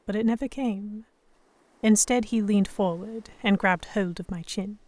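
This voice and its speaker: A woman's voice: mid-range voice, measured pace, darkish tone, no echo, natural voice. A woman playing a defiant, sarcastic young Victorian English noblewoman held captive, narrating in formal first person for an audio drama. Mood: tense narration. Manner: sardonic, defiant, formal diction. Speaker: narrator and lead voice actor.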